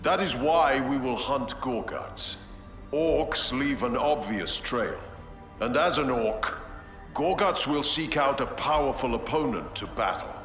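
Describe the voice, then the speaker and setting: An actor playing a forceful Space Marine officer who barks orders in a game cutscene. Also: male voice, medium pitch, lively intonation, unhurried, big-room sound, soft background music.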